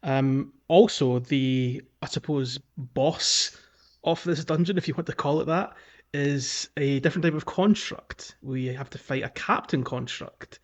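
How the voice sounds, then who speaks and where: A man's voice: medium pitch, measured pace. Male podcast host, mid-range voice, casual and a little hesitant, dull lo-fi recording. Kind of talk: casual video game discussion.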